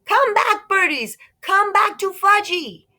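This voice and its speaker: A woman puts on a storybook toddler boy, excitable and shrill. The voice is squeaky-high and bright, calling out with big swoops. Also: takes it slowly, heavily acted. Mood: screaming.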